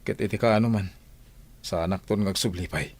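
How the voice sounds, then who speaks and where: A man's voice: deep voice, normal speed, darkish tone, no echo. A man acting a stern, fed-up grown son in a radio drama, lecturing firmly with an Ilocano accent. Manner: firm, lecturing. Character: stern, fed-up grown son scolding his gambling mother.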